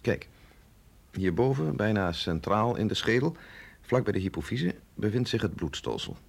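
Gezaghebbende dokter met krakende stem. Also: male voice, on the low side, big pitch swings, vocal fry, full character voice. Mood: grave. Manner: measured, explanatory, authoritative. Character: authoritative male doctor at a secret research institute, patient and grave. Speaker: doctor. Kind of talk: radio drama acting.

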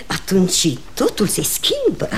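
A woman's voice: mid-range voice, wildly swooping pitch. An actress playing a haughty, status-struck middle-aged mother in a radio comedy, pompous and theatrical. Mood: fawning, impressed. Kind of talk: mid-century radio comedy theatre acting.

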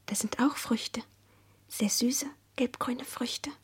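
Dreamy childlike young woman, very breathy, highish voice, hushed and wistful, radio play. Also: slow pace, heavily acted. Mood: dreamy, intimate. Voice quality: breathy whisper. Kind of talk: German radio play acting.

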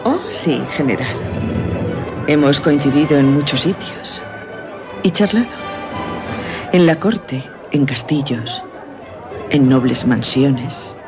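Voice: mid-range voice, slow, pauses often. A performer playing a mature, elegant, teasingly evasive masked noblewoman in a radio drama, with a coy, aristocratic, theatrical delivery. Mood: poised, familiar. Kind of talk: Spanish radio drama dialogue. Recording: radio drama with music and party crowd.

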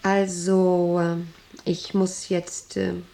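An actress playing a domineering, rambling factory director in a radio drama, speaking in a condescending, probing way with abrupt commands. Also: female voice, fairly low pitch, little pitch movement, drawn-out words, gravelly, mellow, heavily acted. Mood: commanding, restless. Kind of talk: German radio drama acting.

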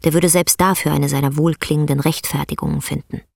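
A woman performing as the grave, intense narrator of a bleak literary radio drama, telling it in a measured way. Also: sits low, fairly even intonation, gravelly, darkish tone, no echo, clean studio sound, heavily acted. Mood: grave, tense. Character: female storyteller of a bleak rural tale, grave and intense. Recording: music under the voice.

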